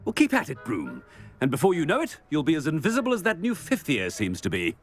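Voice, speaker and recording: A haughty middle-aged British wizard headmaster in a video game. His voice sits a little high and leaps dramatically up and down. He is pompous and curt, with faint music behind him. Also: wildly swooping pitch, fast. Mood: condescending. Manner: pompous, brusque, theatrical. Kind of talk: theatrical video game acting.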